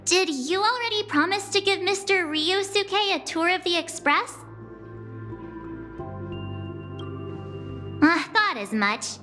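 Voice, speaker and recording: A woman's voice: very high-pitched, sharp tone, full character voice. An actress playing a perky, teasing young girl adventurer in a video game, casual, sassy and easily flustered. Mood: teasing, curious.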